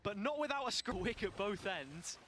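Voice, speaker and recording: A man doing brisk play-by-play esports commentary, lifting his energy on key plays. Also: sits high, very animated. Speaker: esports caster. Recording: broadcast audio replayed through a stream, noisy.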